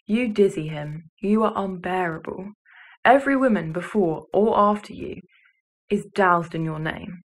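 Low-voiced young woman reciting poetry. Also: a little slow. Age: teenager or young adult. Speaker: student poetry reader. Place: bedroom.

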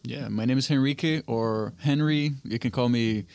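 A young man in his twenties talks in an easygoing, soft-spoken way, with a Brazilian Portuguese accent. His voice sits in the middle range, and it is recorded dry and close on a studio boom mic. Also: normal speed, vocal fry, clear, resonant tone, no echo. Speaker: guest, soccer club founder. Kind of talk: casual conversational interview. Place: small home podcast studio. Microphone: studio mic on boom arm, headphones.

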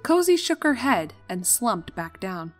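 Young woman narrating a story, medium pitch. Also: sing-song, measured pace, soft background music.

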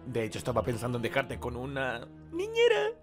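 A man playing an anxious, flustered young office-worker father in an animated comedy dub, hesitant and exasperated. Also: mid-range voice, fairly quick. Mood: awkward. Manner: exasperated, hesitant, prone to panicked yelps. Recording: music behind the dialogue.

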